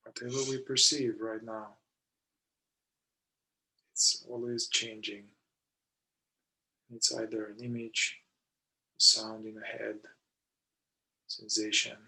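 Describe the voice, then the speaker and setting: A man guides a meditation very slowly, in short and deliberate phrases separated by long pauses. His voice sits fairly low and is notably gravelly, with fry on the words. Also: flat delivery, sharp tone, poor audio. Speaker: meditation guide, somatic therapist.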